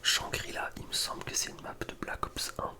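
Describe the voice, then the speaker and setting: A man speaking in a breathy whisper, giving informal, slangy ASMR commentary as a gaming video creator. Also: a little fast. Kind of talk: whispered ASMR casual commentary ranking video game maps.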